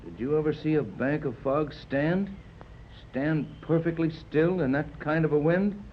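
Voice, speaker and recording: A man's voice: fairly high pitch, a little slow, lightly acted. An actor playing a grave, commanding seaman. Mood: uneasy. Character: steady, grave male seaman, middle-aged. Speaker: ship's officer Tex. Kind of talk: 1930s radio drama acting. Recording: muffled old radio transcription with hiss.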